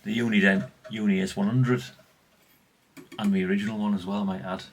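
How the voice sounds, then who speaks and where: A man's voice: lowish voice, flat delivery, fairly quick. A middle-aged man chatting casually and colloquially in a rambling vlog, often tagging questions onto what he says. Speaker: vlogger, radio collector.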